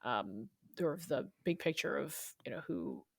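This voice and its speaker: A woman speaks in a fairly low voice with very little room sound. She is an interviewed novelist, chatty and informal, explaining things as she goes. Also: expressive, moderate pace, gravelly, husky, dry recording. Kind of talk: conversational interview answers.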